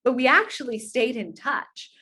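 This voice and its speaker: A woman's voice: a little high, lively intonation, normal speed, sharp tone, breathy edge. A woman in her twenties talking earnestly and at length as an interview guest, in a conversational, anecdotal style. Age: 20s. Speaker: interview guest, pageant titleholder.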